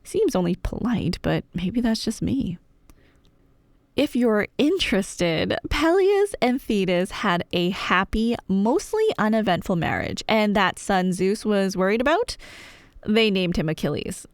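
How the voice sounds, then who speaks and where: Animated woman storyteller, dry close sound. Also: medium pitch, measured pace, no echo. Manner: playful, wry asides. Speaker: ASMR roleplay storyteller. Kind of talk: casual, scripted myth retelling.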